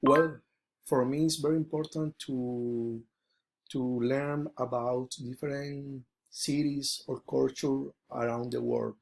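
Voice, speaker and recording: A man's voice: mid-range voice, steady tone, takes it slowly, vocal fry, slight background noise. A young man from Colombia with a Colombian Spanish accent, a Spanish native speaker, answering interview questions in English and restarting his answers over and over with many fillers.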